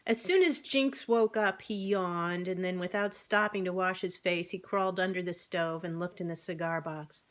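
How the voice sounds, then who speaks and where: A woman's voice: lowish voice, fairly even intonation, normal speed, hardly any pauses. A woman casually reading a children's book aloud as narrator, with informal asides. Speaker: amateur storybook reader.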